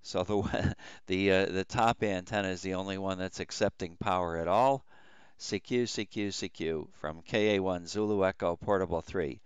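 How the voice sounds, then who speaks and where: A man who works as an amateur radio operator, talking casually in a halting, matter-of-fact way as he demonstrates his station and makes radio calls. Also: steady tone, smooth, no echo. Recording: loud radio noise, vehicle-like rumble.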